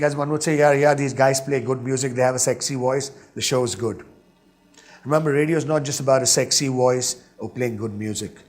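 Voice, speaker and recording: A middle-aged man with an Indian English accent, a radio DJ giving an emphatic motivational talk on stage and building his points through repeated, rhythmic lists. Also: on the high side. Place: stage in a hall. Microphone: stage microphone.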